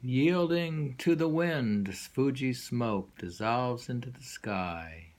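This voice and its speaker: A man reading poems aloud with informal commentary, unhurried and musing, leaving pauses as he goes. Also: mid-range voice, lively intonation, a little mellow. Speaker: poetry reader.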